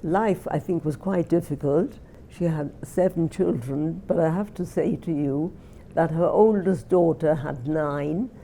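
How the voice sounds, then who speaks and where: An older woman with a Welsh accent, recalling her family in an interview, often halting and repeating words as she speaks. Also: low voice, vocal fry, warm tone, slight background noise. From Wales. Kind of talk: reminiscing interview.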